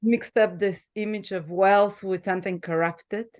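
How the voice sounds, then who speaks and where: A woman's voice: mid-range voice, fairly even intonation, a little slow. A woman from Bulgaria with a Bulgarian accent, hosting a casual conversation and laughing often, with hesitant restarts. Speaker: host.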